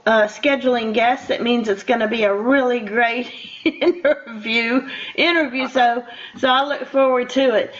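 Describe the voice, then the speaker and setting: A woman's voice: on the high side, muffled recording. An older woman hosting a casual radio interview, chatty and rambling as she talks to her guest. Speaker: radio show host.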